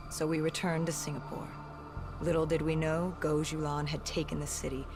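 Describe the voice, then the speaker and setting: Grim, haunted soldier over music. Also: female voice, deep voice. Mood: subdued recounting. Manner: grave, terse, measured storytelling. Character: battle-hardened female soldier, haunted and grim. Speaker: narrator. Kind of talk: dramatic scripted game narration.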